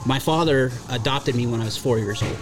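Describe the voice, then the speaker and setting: A man's voice: mid-range voice, a little fast, over music, low-quality recording. Earnest male guest explaining his views. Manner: earnest, explanatory, hesitant fillers. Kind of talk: casual talk radio conversation.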